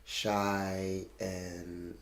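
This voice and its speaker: Gravelly-voiced French guest in an echoey room. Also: male voice, low voice, flat delivery, drawn-out words. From France. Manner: hesitant, playful, mimics voices for effect. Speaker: interview guest. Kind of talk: casual conversation.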